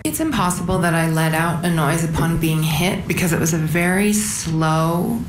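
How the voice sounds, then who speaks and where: A middle-aged woman testifying in court at a desk microphone, giving careful, deliberate answers. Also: on the low side, a little hiss or hum. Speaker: defendant testifying. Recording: roomy courtroom sound.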